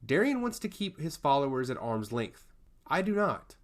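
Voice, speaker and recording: A man's voice: mid-range voice, very animated, normal speed, lightly acted. A man voicing a passionate god of chaos who loves humanity, speaking in earnest, emphatic monologue in a fantasy story. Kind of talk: fantasy storybook character dialogue.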